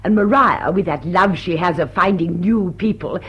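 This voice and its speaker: An actress playing a gracious, doting upper-class hostess in a period drawing-room radio drama, with genteel, emphatic phrasing. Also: female voice, lowish voice, normal speed, husky, muffled recording. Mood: fond, chatty.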